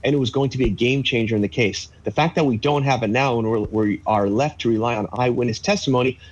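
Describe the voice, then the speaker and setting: A man in his thirties, a legal analyst, explaining a court case in a measured way with fillers over a video call from a home office. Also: medium pitch. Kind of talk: live news interview commentary.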